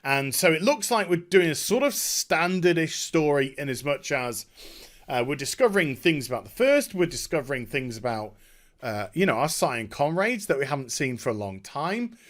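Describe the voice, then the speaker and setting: A man hosting a gaming video, giving a casual, unscripted recap in a halting, digressive way and often correcting himself. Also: on the high side, very animated, fairly bright tone.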